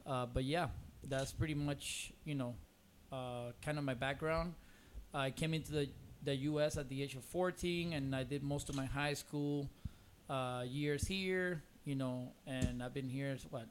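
A man's voice: mid-range voice, measured pace, hardly any pauses, slight background noise. Hesitant middle-aged man with a Cuban accent. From Costa Rica, born in Cuba. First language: Spanish. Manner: hesitant, rambling, many "you know"s. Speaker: podcast guest. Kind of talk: casual conversational interview.

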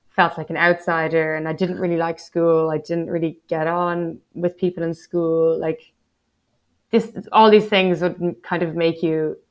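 Reflective Dublin woman in her thirties. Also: sits low. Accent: Dublin Irish. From Ireland. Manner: reflective, self-deprecating, frequent "like" fillers. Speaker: guest poet. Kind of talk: casual interview conversation.

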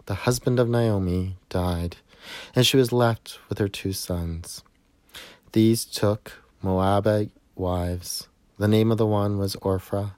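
A man reading a scripture narrative aloud in a measured way, recorded indoors in a church sanctuary. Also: on the low side, a little mellow, no echo. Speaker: scripture reader.

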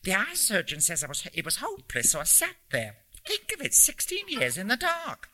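A man's voice: sits high, huge pitch swings, normal speed, pushed and bright, voice pushed up, full character voice. A man voicing a little old English lady from the North Country, chatty and cheerful, as he reads a story aloud. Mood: cheerfully delighted. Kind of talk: acted dialogue in a story read aloud.

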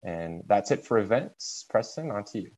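Young man from brazil, low voice, steady scripted delivery, podcast co-host. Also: normal speed, rough recording. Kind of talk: reading announcements, then casual chat.

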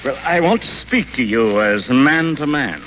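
Guy doing a blustery broke rich father, sarcastic, bright and lively, old radio sound. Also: mid-range voice, normal speed, natural voice. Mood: sardonic. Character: exasperated, bankrupt wealthy father. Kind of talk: 1930s radio acting. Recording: muffled old radio broadcast with faint hiss.

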